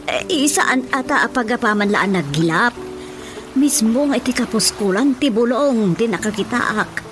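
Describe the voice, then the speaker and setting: A woman's voice: fairly high pitch, lively intonation, normal speed, close to an everyday voice. A woman with a Filipino accent playing an anxious, superstitious rural wife and mother in a radio comedy drama. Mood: fretful. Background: music.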